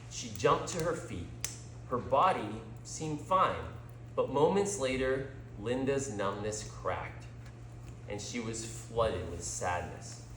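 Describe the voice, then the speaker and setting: A male storyteller narrates a scripted tale in an unhurried, theatrical way. His pitch is medium and swings widely as he reads. The sound is roomy, with clear echo around the voice. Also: fairly bright tone, a little hiss or hum. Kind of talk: scripted story narration, dramatic read-aloud.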